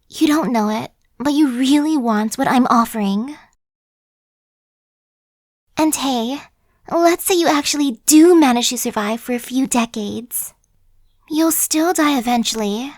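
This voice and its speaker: A woman's voice: high-pitched, dry recording, studio quality. A woman playing a chatty, sly young female zombie in an ASMR roleplay, talking in a slangy, cajoling, buddy-like way. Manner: casual slangy wheedling, buddy-like.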